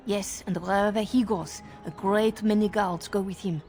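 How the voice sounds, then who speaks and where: A woman's voice: mid-range voice, fairly even intonation, normal speed, darkish tone, faint music behind, natural voice. A woman playing an anxious, careful servant in a video game. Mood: urgent, worried.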